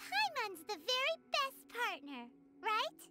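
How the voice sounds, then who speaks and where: A tiny, eager fairy companion from a video game. The voice is extremely high and childlike. It swoops about with chirpy, perky energy over clear background music. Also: female voice, huge pitch swings, measured pace, exaggerated voice. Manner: chirpy, speaks of herself in third person. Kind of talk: video game character acting.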